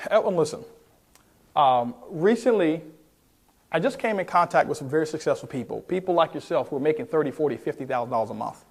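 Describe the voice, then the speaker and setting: A man's voice: fairly high pitch, a little mellow. A man speaking as a sales trainer at a motivational seminar, emphatic and often putting questions straight to his listeners. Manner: emphatic, addresses the audience with questions. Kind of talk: motivational sales seminar talk with role-played phone calls.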